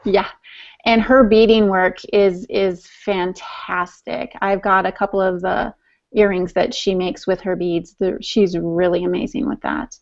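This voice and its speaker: A woman's voice: mid-range voice, steady tone, unhurried, darkish tone. A woman co-hosting a podcast in casual conversation, chatty and playfully teasing. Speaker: podcast co-host. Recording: dull, lo-fi podcast audio.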